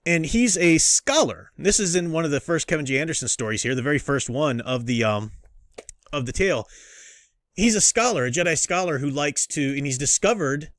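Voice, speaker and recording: A man's voice: fairly high pitch, animated, a little fast, fairly bright tone, no echo, studio quality. A man hosting a casual review, explaining things in an enthusiastic, emphatic, teacherly way. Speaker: host and book reviewer. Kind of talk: casual comic review commentary.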